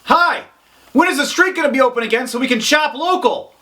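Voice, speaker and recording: A man voicing an overeager townsperson who talks far too loudly in a comedy sketch. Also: very high-pitched, brisk. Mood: loud, eager. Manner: loud, overcompensating. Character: overeager loud townsperson shouting through a mask.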